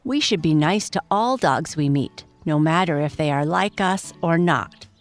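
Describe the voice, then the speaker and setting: Slow, low-voiced woman telling bedtime stories. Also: faint music behind. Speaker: children's story narrator. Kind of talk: scripted bedtime story narration.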